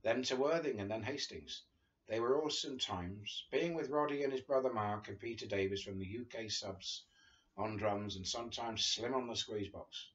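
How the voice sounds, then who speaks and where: A man's voice: lowish voice, moderate pace, fairly bright tone. An English man in his fifties reading a memoir aloud in an informal, amused way. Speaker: guest reader. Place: small living room, seated on a sofa. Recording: dull lo-fi video call audio.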